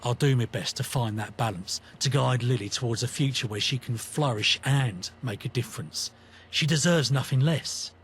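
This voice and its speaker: An actor playing a conflicted, earnest British rebel in a video game, talking in a matey, reflective, colloquial way. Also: male voice, medium pitch, normal speed, slight background noise, lightly acted. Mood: grateful, reflective. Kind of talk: scripted video game companion dialogue.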